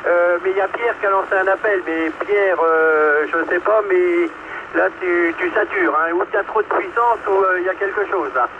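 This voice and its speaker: A man's voice: sits high, fairly even intonation, moderate pace. A man chatting casually over a two-way radio transmission. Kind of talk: casual amateur radio chat. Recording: tinny, noisy two-way radio.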